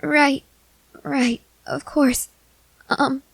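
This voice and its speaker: A woman playing a timid, gentle newly turned vampire in an ASMR roleplay, speaking hesitantly and haltingly. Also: high-pitched, level tone, gravelly, no echo, full character voice. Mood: awed, tentative. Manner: hesitant, halting, soft-hearted.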